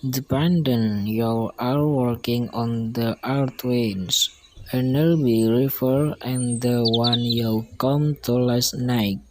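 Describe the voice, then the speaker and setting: A young man with an Indonesian accent acting a solemn village grandfather who knows the spirits, speaking slowly and gravely in an amateur radio drama. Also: mid-range voice, fairly even intonation, darkish tone, close to an everyday voice. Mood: grave, fatherly. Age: young adult. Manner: slow, grave, deliberate. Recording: noisy, with chirping birds behind. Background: birdsong and noise.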